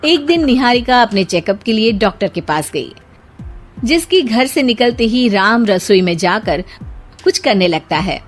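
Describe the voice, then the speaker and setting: A woman narrating a scripted cartoon family story in an expressive storytelling manner. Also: on the high side, unhurried, soft background music.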